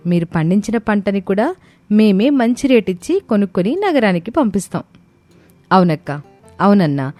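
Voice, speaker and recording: A woman's voice: mid-range voice, dark tone, lightly acted. A woman playing a generous, wise young village daughter-in-law in a storybook tale. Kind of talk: storybook dialogue.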